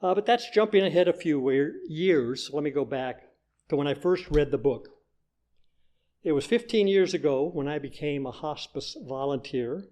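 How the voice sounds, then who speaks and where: An older man with a fairly high-pitched voice gives a personal testimony at a church service. He speaks as a member of the congregation, delivering a prepared story in a measured, storytelling way. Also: a little mellow.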